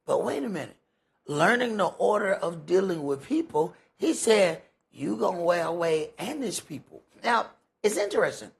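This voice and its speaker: A man's voice: sits high, very animated, normal speed, gravelly, breathy edge. A man teaching scripture in an informal sermon, conversational and emphatic, repeating phrases for effect. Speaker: priest teaching a Bible study.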